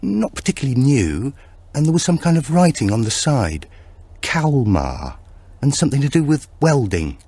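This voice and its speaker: Cheerful old countrywoman played by a male narrator, voice lifted high, energetic and chatty, for an audiobook thriller. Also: moderate pace, husky, no echo. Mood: chatty, eager.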